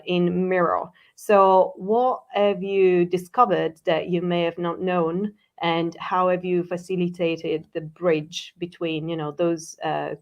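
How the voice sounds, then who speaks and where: A woman's voice: lowish voice, dull audio. A woman hosting a virtual presentation on a video call, polite and welcoming, with a few ums. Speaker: event host.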